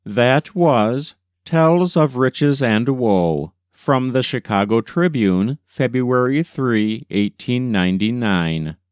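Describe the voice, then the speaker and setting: A man's voice: medium pitch, animated, slow pace, hardly any pauses, a little mellow, clean tone, no echo, clean studio sound. A man reading an old newspaper story aloud as scripted narration. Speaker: volunteer reader.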